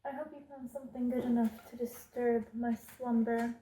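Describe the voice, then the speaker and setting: A woman playing a haughty, cruel evil queen in an ASMR roleplay, speaking in an imperious, deliberate, menacing way. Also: on the high side, fairly even intonation, dark tone, lightly acted, rough recording. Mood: cold disdain. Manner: imperious, slow and deliberate, drops into menacing whispers.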